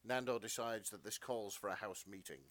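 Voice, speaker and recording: Low-voiced man narrating melodramatic fan fiction. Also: a little fast, fairly bright tone, no echo. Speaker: podcast co-host and narrator.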